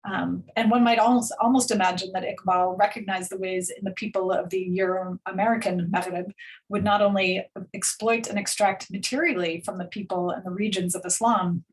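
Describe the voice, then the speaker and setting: A woman's voice: medium pitch, slight background noise, poor audio. Woman giving academic commentary. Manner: measured, with frequent ums and soft laughs. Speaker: panelist at a book talk. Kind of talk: academic commentary read from notes.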